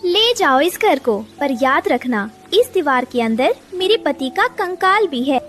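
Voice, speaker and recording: A woman's voice: high voice, big pitch swings, unhurried, fairly bright tone, soft background music, full character voice. A woman voicing a desperate mother bird in a cartoon, dramatic and pleading. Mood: bitter, defiant.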